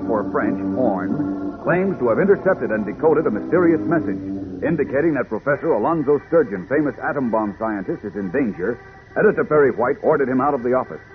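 A man's voice: mid-range voice, animated, brisk. A man narrating a 1940s radio serial in a formal, dramatic announcer style. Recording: tinny 1940s radio recording with loud music.

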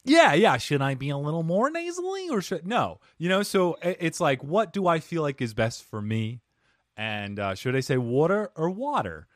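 A man's voice: fairly high pitch, sing-song, moderate pace, no echo, studio quality. A man hosting a podcast interview, chatting in a playful, self-deprecating way. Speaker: podcast host. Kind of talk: casual interview chat.

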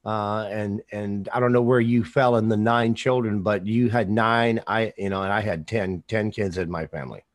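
A man from the American Midwest hosting an interview, talking casually and haltingly, with lots of fillers and sentences that trail off. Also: on the low side, steady tone, no room sound, clean studio sound. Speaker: podcast host.

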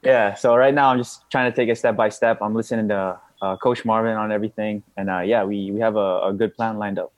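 Young man, Bisaya native speaker, soft-spoken, hesitant, lots of fillers, interview guest. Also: fairly low pitch, fairly even intonation, a little fast, few pauses, highs cut off. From Philippines. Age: young adult. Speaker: boxing prospect guest. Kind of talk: casual interview answers.

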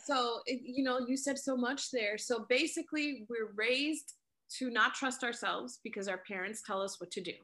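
Bright, earnest woman in her late thirties. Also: fairly high pitch, normal speed. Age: late 30s. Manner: earnest, summarizing and building on the other speaker's points. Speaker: podcast co-host. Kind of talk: casual personal chat.

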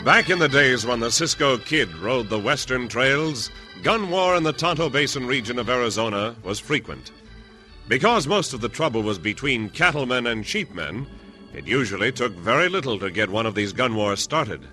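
A man's voice: medium pitch, sing-song, moderate pace. A man with an American accent narrating a 1950s radio western in a dramatic, declamatory style. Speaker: announcer and narrator. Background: loud organ music.

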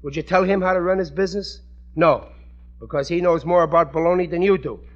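An actor as a blustering, high-voiced American working husband. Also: male voice, fairly even intonation, brisk, highs cut off, heavy background noise, natural voice. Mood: stubborn, dismissive. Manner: blustery, folksy, ungrammatical. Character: blustering, unschooled working-class husband, naive and self-important. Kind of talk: old-time American radio situation comedy acting. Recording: vintage radio recording with studio audience.

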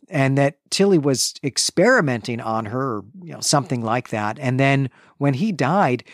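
A man co-hosting a book podcast, giving a measured, analytical recap of the chapter with casual asides. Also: fairly high pitch, no room sound, studio quality. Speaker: podcast co-host.

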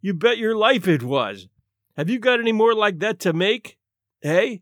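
A man's voice: high voice, sing-song, moderate pace, fairly bright tone, no room sound, studio quality, pitched above the performer's own voice. Man acting a growling, blustering hungry captain. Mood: grumbling. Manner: growling, groaning, grumbling, coarse streetwise speech. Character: huge blustering down-and-out ex-police captain, grumbling and hungry.

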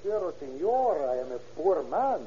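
A man's voice: a little high, big pitch swings, moderate pace, mellow, husky, full character voice. A humble night watchman. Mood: hopeful. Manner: polite and deferential. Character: humble Mexican night watchman, gentle and polite. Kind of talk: 1940s radio acting. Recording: dull old radio recording.

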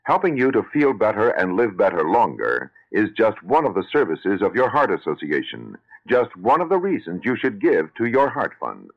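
An American man reads a scripted radio announcement in a very deep voice. His intonation swings in a lively way. The recording is a little dull, like old radio. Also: normal speed. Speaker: public service spot announcer.

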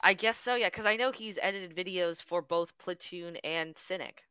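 A woman hosting a casual podcast, her voice lowish yet bright and forward. She chats loosely, trailing off into fillers, in a dry, close sound with no room around it. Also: moderate pace, smooth, no echo. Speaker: podcast host.

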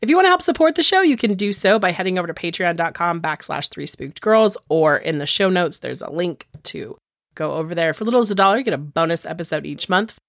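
A woman's voice: on the low side, animated, fast talker, no echo, clean studio sound. A woman co-hosting a casual podcast, chatty and rambling, sounding congested from a cold. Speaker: podcast co-host. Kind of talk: casual chat.

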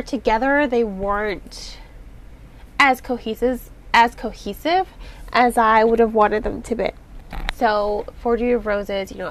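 Congested, nasal woman chatting casually. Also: medium pitch. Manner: chatty and rambling, with many fillers and restarts. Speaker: podcast host reviewing books. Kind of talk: casual spoken book review.

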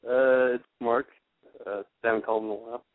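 A young man of twenty calling in to a radio show. He talks quickly and a little shyly, mumbling his words. His voice is tinny and dry, as over a phone line. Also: mid-range voice, fairly even intonation, no room sound. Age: 20s. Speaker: caller.

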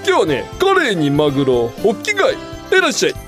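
Radio-play sushi boss, played by a woman, friendly and gruffly direct, swooping delivery over clear background music. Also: on the low side, huge pitch swings, brisk. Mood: friendly, pitching. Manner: hearty old-Tokyo shopkeeper talk. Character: friendly, blunt male sushi bar master. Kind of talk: comic radio drama.

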